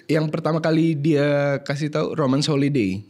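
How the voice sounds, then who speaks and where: Young dude, laid back, stumbles n repeats words, chatty guest. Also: male voice, fairly high pitch, moderate pace. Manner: relaxed, hesitant, repeats words, laughs at himself. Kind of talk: casual chat.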